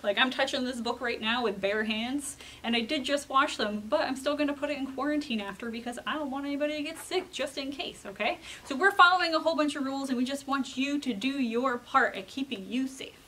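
A woman with a fairly high voice speaks casually to children as a librarian would. She explains through simple questions, answers them herself and keeps checking in. Faint ambient room noise sits behind her. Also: a little fast, few pauses, rough recording. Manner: animated question-and-answer teaching, frequent check-in tags.

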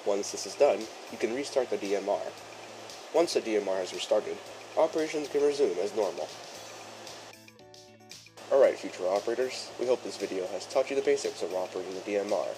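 A man playing a courteous chief engineer who presents a training film in formal, measured, instructional narration. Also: lowish voice, expressive, lightly acted, poor audio. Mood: encouraging. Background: music behind the voice.

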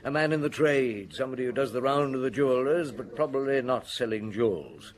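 A British actor playing a wry, patient veteran police detective chief in a radio drama, musing with unhurried authority. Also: male voice, mid-range voice, close to an everyday voice. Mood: assured.

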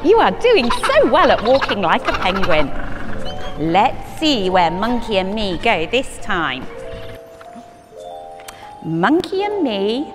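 A woman reading a picture book to little children in a bouncy sing-song, her pitch swinging high and low as she lingers over each word. She sounds a little above the usual, gasping for effect. The voice carries a long reverb, with music playing clearly underneath. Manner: sing-song, animated, dramatic gasps, talks to a bear mascot. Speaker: early years teacher reading a picture book. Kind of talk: children's story time read-aloud. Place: studio with a green-screen backdrop, seated on a sofa.

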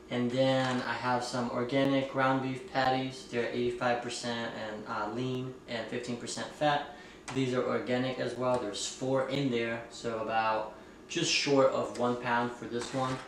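A man's voice: mid-range voice, background noise. Chatty young man in an echoey room. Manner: relaxed, chatty, many fillers and "like". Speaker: fitness vlogger and ballet dancer. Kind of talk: casual vlog talk to camera. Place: small room indoors, at a kitchen table. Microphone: camera mic at a distance. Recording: very echoey room, a bit far from the mic.

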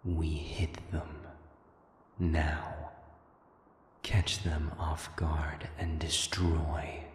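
A man playing a cold, patient otherworldly rebel leader in an audio drama, with a hushed, deliberate, menacing delivery. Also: a bass voice, little pitch movement, full character voice. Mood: cold, resolute. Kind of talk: dramatic audio roleplay acting. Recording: echoey hall reverb with noisy ambience.

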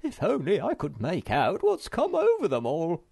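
Male fusspot creature man performing, self-absorbed mutterer, pitched up, for a children's storybook. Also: wildly swooping pitch, normal speed, creaky, darkish tone, breathy edge, dry recording, clean studio sound, exaggerated voice. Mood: grumbling. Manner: muttering, grumbling. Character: grumbling male Hemulen, fussy, self-absorbed stamp collector.

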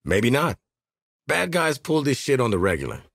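Raspy, cocky American assassin. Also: male voice, mid-range voice, huge pitch swings, normal speed, fairly bright tone, dry recording, clean studio sound, exaggerated voice. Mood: cocky, wry. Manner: brash, streetwise, wisecracking. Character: cocky, world-weary male assassin and squad soldier. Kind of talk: video game voice lines.

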